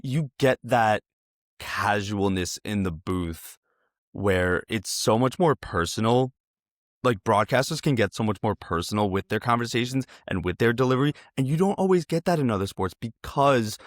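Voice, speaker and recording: A man's voice: on the low side, no echo, studio quality. An American man hosting a conversational interview, asking wordy questions that he rephrases as he goes. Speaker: interviewer, host.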